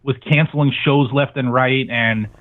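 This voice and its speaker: A man's voice: medium pitch, fairly even intonation, normal speed. A man speaking as an interview guest in casual, talkative, informal conversation. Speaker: podcast network owner, guest. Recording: tinny phone-like line.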